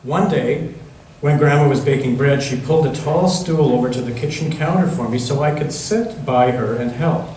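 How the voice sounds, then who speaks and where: A man reading a picture book aloud to children in a church, pausing to put questions to them. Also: medium pitch, a little mellow. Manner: pauses to ask the listening children questions. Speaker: church children's story reader. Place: large echoey church interior. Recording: very echoey church room, distant mic, faint noise.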